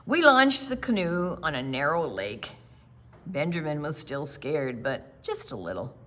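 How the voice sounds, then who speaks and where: An older woman reading a picture book aloud to children as a storytime reader, with dramatic, deliberate phrasing and quieter conversational asides. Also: low-pitched, very animated.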